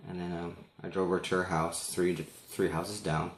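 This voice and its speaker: Male storyteller, casual, animated delivery, creaky vocal fry, echoey room. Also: deep voice, moderate pace. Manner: animated, acts out dialogue.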